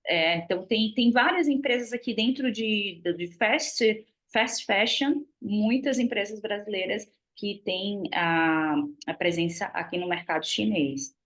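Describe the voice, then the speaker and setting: A woman's voice: mid-range voice, unhurried, a bit bright. A Brazilian woman speaking with a Brazilian Portuguese accent as a guest in a business webinar. She talks freely and chats, with many fillers and restarts. The sound is that of a video call. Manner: chatty, frequent fillers and restarts. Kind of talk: webinar talk. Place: lives in China.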